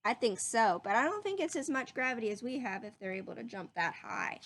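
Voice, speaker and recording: Female read-aloud teacher, high voice, very animated intonation, stretches key words and checks in with young listeners. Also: fairly quick. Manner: animated storybook delivery, drawing out words, asking questions. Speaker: teacher reading a picture book aloud to children. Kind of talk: expressive children's read-aloud with questions to listeners.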